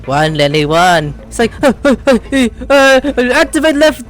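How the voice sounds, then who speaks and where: Excitable, wildly swooping female gamer. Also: fairly high pitch, normal speed. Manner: excitable, swears freely, jumps into squeals. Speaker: gamer commenting on playthrough. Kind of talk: casual gameplay reaction commentary.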